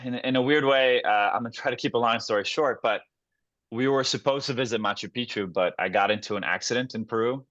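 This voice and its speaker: A young man, a guest actor on a podcast, telling stories in a relaxed, casual way with many fillers and restarts. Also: mid-range voice, quick pace, dry recording, highs cut off.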